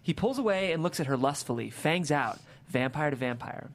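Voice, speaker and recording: A woman's voice: low-pitched, expressive, a little fast, slight background noise. A woman reading the stage directions of a comedy screenplay as a narrator.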